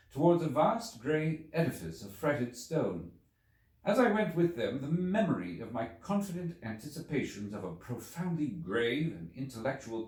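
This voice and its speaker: A man reading a classic novel aloud as scripted literary narration, with deliberate, dramatic phrasing. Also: medium pitch, a little mellow. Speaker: audiobook reader. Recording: roomy, echoing voice recording.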